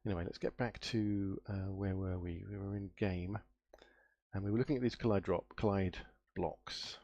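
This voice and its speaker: A man's voice: deep voice, steady tone, moderate pace, mellow, lo-fi sound. A man with a nasal voice from a cold, live-streaming his game coding and thinking aloud, muttering and trailing off as he searches for things. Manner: muttering to himself, trailing off and spelling words out while searching.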